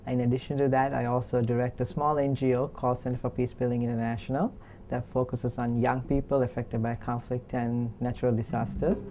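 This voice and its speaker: A man from Sri Lanka who directs the institute, speaking clearly and assuredly in an interview. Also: medium pitch, flat delivery, a little fast, mellow, a little hiss or hum. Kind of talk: recorded interview.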